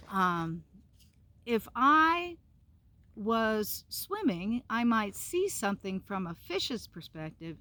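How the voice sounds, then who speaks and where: A woman pastor reading a storybook and giving an informal devotional talk for children, with gentle pauses and simple phrasing. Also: mid-range voice.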